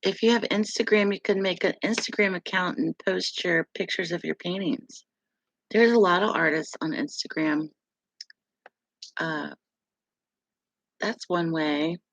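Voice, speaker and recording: Middle-aged woman host, gravelly low voice, unhurried chatty live stream delivery, dry close sound. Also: fairly bright tone, no room sound, rough recording. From West Virginia, USA. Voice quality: deep, gravelly voice. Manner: slow, rambling, laughs often. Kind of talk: casual live chat with viewers.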